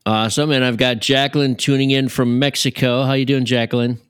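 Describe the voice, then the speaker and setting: A man's voice: medium pitch, little pitch movement, brisk, smooth, no room sound, clean studio sound. A man hosting a casual podcast, making upbeat introductions of the guest and the co-hosts. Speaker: podcast host.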